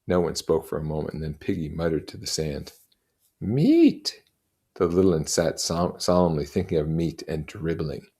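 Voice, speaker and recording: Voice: rumbling low, fairly even intonation, a little fast, few pauses, darkish tone, breathy edge. A narrator reading a novel aloud expressively and adding explanatory comments.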